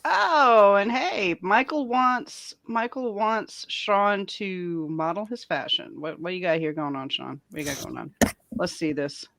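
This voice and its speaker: A woman's voice: medium pitch, a little slow, a bit bright. A woman hosting a casual geek culture panel chat, informal and given to repeating her phrases for emphasis.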